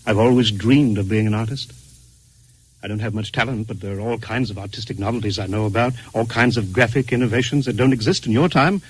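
An anguished man racked with self-doubt in an old fifties radio play. His voice is husky and rough at the edges, and he pleads earnestly, easily agitated. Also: fairly low pitch, fairly quick, no echo, poor audio. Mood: hopeful, pleading. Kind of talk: 1950s radio drama acting.

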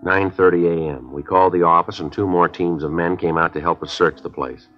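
An actor playing a terse, deadpan police detective in a 1950s radio police drama, questioning and narrating. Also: male voice, low voice, brisk, over music, lightly acted. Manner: clipped, deadpan, matter-of-fact. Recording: dull old radio recording.

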